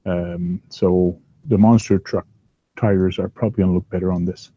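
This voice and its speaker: A man with a big, low voice rambles casually as he hosts from his workbench at home, filling gaps with ums and uhs. The sound is dry and a little dull, with no room echo. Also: level tone, moderate pace. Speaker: host. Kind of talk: casual chat while working at a hobby bench.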